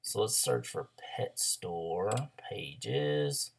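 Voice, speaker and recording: A hoarse young man with a loose, chatty manner, full of ums and likes, walking through a screen-share tutorial as an online ads coach. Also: low-pitched, lively intonation, drawn-out words. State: hoarse after a throat illness.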